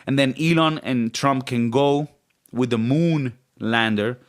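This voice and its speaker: A man co-hosting a casual podcast, with a raspy voice at an ordinary male pitch. He rambles along in a joking, loose style and swears freely. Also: slow. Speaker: podcast co-host.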